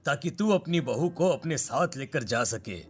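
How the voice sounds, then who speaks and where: A man's voice: on the high side, animated, normal speed, over music, lightly acted. A man playing an argumentative, earnest village father in dramatised story dialogue, with slight background noise. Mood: dismissive. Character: village fathers arguing, one pleading, one dismissive.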